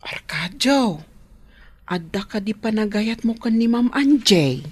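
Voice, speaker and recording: A woman's voice: fairly high pitch, animated, slow pace, darkish tone, breathy edge, exaggerated voice. A woman with an Ilocano accent playing a nosy, teasing matchmaker of the household in a radio drama, frank and coaxing. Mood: teasing, probing.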